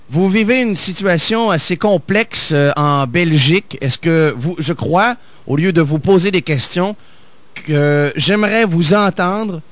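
A man's voice: on the high side, no echo. Hesitant male interviewer, lo-fi sound. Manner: hesitant, many fillers and restarts. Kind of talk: interview questions. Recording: dull lo-fi sound.

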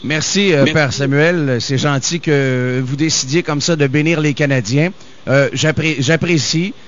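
A man interviewing a priest, hesitant and halting, with many fillers and restarts. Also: on the high side, a bit bright, slight background noise. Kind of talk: interview questions. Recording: dull lo-fi sound.

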